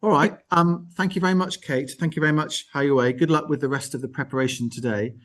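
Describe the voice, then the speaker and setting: A man's voice: on the high side, steady tone, quick pace. A man hosting a casual live arts call, talking in a chatty, discursive way. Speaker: host of an arts network online call. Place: on location at an art gallery, live video call.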